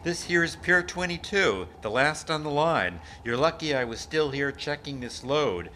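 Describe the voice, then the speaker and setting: A man's voice: a little high, expressive, a little slow, pushed and bright. A man playing a friendly, chatty dock worker with a folksy, easygoing manner in an old-time radio drama. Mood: concerned, folksy. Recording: noisy amateur live stage recording.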